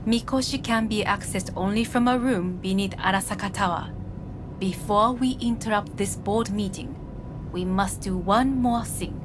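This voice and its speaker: A woman playing a composed, imperious corporate heiress in a video game, speaking formally and with measured command. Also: medium pitch, background noise, lightly acted. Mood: cool, guarded. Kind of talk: dramatic video game voice acting.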